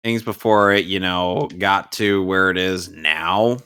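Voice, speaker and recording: Lowish young guy with a crisp bright voice, deadpan teasing on a game stream. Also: unhurried. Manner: dry, teasing, narrates his own play. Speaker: podcast co-host and gamer. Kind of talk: casual gaming stream chat.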